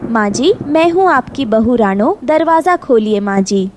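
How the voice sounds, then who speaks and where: A high-pitched young mother sparrow voiced by a woman in a cartoon story. She is anxious and polite, speaking with a worried plea. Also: lively intonation, unhurried, darkish tone, slight background noise. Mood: pleading. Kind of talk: Hindi cartoon story dubbing.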